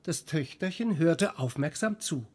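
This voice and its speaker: An actor playing a sceptical, gossipy doctor in a radio drama, talking in a wry, knowing, conversational way. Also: male voice, fairly high pitch, lively intonation, a little slow, breathy edge. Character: sceptical, gossipy male Russian army doctor, wry confidant.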